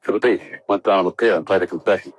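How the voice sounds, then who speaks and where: An actor playing an earnest, troubled young American test pilot, hesitant and subdued in 1950s radio drama style. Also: male voice, sits low, fairly even intonation, racing. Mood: subdued, worried.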